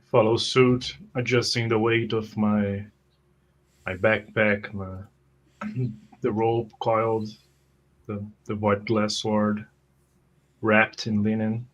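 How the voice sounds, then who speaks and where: A man's voice: fairly low pitch, flat delivery, slow pace, long pauses, fairly bright tone, dull audio. A man playing a tabletop fantasy game, describing his character's actions in hesitant, piecemeal phrases. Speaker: tabletop roleplay player.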